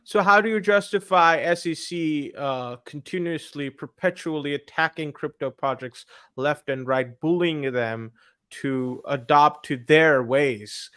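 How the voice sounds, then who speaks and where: Guy hosting an interview, dry close sound, bright voice, asks pointed questions with some teasing. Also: a little high, unhurried, clean tone, dry recording. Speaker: interviewer host.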